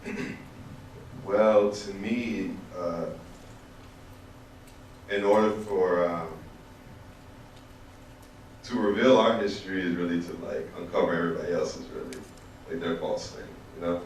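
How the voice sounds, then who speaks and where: A young man on a student panel giving a halting answer full of fillers and restarts. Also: low-pitched, slight background noise. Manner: hesitant, halting, many fillers. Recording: echoey hall, distant mic.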